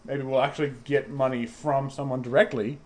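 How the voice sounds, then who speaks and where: A man co-hosting a comedy radio bit, playing it straight in deadpan banter and stumbling through restarts. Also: mid-range voice, brisk. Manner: hesitant, many fillers and restarts. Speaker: radio show co-host.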